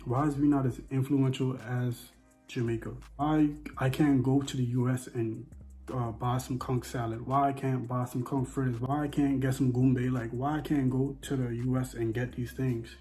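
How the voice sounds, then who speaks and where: A man with an American accent speaks casually in a relaxed, mellow voice at a middle pitch. He argues his opinion emphatically, repeating phrases to drive them home. Faint music plays underneath. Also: normal speed. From Bahamas and the U.S.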